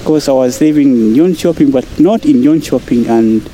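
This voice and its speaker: Mellow-voiced man, halting replies. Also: mid-range voice, a little hiss or hum, low-quality recording. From Uganda. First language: Luganda. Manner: halting, agreeable, fills with yeah and um. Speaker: passerby interviewed on the street. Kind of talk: casual street interview. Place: outdoors on a city sidewalk.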